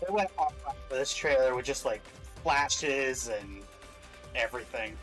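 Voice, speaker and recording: A man's voice: mid-range voice, unhurried, a bit bright, soft background music. A man giving casual reaction commentary as a co-host, sounding like an enthusiastic game fan.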